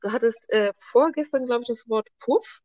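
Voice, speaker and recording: A woman's voice: fairly high pitch, normal speed, darkish tone, no room sound, muffled recording. A woman hosting a podcast interview, friendly and hesitant, with many fillers. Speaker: podcast host. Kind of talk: casual interview.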